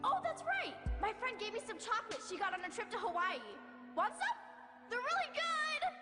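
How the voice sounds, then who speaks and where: Cartoon dub: cheerful chatty schoolgirl type, very high, swooping intonation, loud music underneath. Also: very animated, normal speed. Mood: excited. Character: bubbly, chatty young female student, cheerful and thrifty. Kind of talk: animated film dub acting.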